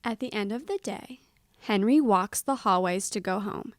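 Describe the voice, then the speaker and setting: A woman reading a children's storybook aloud expressively, with deliberate, dramatic pauses. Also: mid-range voice, no echo. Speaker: storybook reader.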